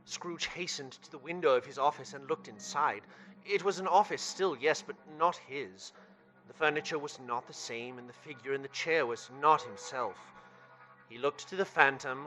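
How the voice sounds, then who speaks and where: A man narrating a scripted radio play with measured, dramatic storytelling. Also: on the high side, sharp tone, faint music behind.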